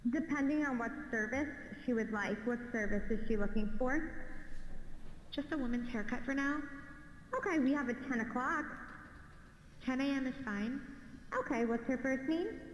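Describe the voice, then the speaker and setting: A woman's voice: high voice, normal speed, pauses often, a little hiss or hum. Echoey playback of a woman on a booking call. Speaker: caller or salon receptionist in appointment call. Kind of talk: phone booking conversation.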